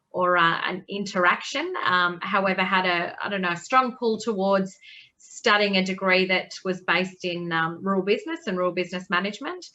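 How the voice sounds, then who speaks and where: A middle-aged Australian woman answering interview questions in a conversational way, often restarting and filling pauses. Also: medium pitch, sharp tone, lo-fi sound. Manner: conversational, frequent restarts and fillers. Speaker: guest.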